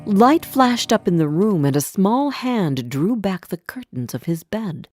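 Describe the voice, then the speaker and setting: A woman narrating a storybook for English learners in a deliberate, expressive manner. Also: medium pitch, faint music behind.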